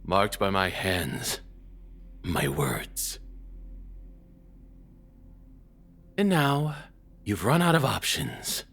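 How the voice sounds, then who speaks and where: A man playing a controlling, cruel ex-boyfriend in an intimate audio roleplay, with a husky, rough-edged voice and a taunting, domineering, deliberate delivery. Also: low voice, huge pitch swings, fairly bright tone, heavily acted. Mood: mocking, possessive.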